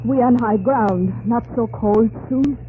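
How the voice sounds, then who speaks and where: A woman's voice: on the high side, slow pace, slight background noise. Young female guide, terse broken English, clipped phrases, old radio drama, tinny sound. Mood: calm.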